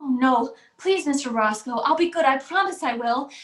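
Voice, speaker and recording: Casting: a woman to play a meek young radio-drama servant girl, high and imploring. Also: expressive, normal speed, fairly bright tone. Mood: frightened. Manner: meek, pleading. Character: timid, pleading servant girl of eighteen. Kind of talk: old-time radio drama acting.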